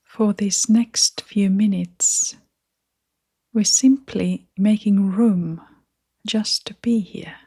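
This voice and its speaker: A woman guiding a meditation in a soft, half-whispered voice, gentle and soothing, leaving long pauses between phrases. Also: mid-range voice, fairly even intonation, husky. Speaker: meditation guide. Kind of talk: guided meditation narration.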